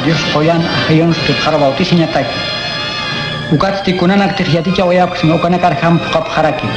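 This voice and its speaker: Hombre narrador solemne con eco. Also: male voice, a little high, roomy sound, low-quality recording.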